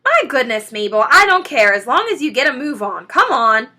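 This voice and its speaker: A bossy housewife from a storybook, played by a woman reading aloud, shouting commands in a high, swooping voice. She is sharp and impatient, with a bright, forceful edge. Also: big pitch swings, moderate pace, exaggerated voice. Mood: bossy, exasperated.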